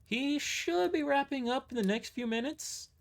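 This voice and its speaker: A man's voice: very high voice, moderate pace, gravelly, voice pushed up. A man voicing a chatty, doting older female receptionist with a folksy rural twang in an audio drama. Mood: chatty, eager. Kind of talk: amateur audio drama character voice.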